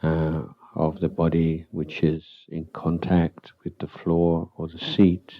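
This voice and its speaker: Very deep-voiced man guiding meditation slowly. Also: narrow, tinny audio. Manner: calm, unhurried, halting with long pauses. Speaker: Buddhist monk, meditation teacher. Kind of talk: guided meditation instruction.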